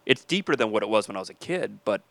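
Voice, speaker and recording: A man's voice: fairly low pitch, expressive, quick pace, gravelly, fairly bright tone, no echo. A man co-hosting a podcast with his wife, rambling through funny stories in a slightly nasal voice and breaking into silly voices. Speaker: podcast co-host. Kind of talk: casual comedic chat.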